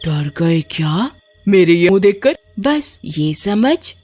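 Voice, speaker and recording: A woman's voice: on the low side, big pitch swings, takes it slowly, darkish tone, faint music behind, voice pushed down, exaggerated voice. A woman voicing a murderous, taunting witch ghost in a horror story cartoon, drawing out her words with cackling menace. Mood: menacing, gloating. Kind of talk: animated horror story voice acting.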